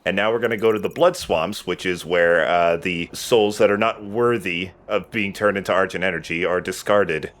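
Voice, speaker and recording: A man's voice: low voice, normal speed, hardly any pauses, a bit bright. A man giving casual, matter-of-fact Let's Play commentary, explaining the game in a laid-back, sweary way. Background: game music.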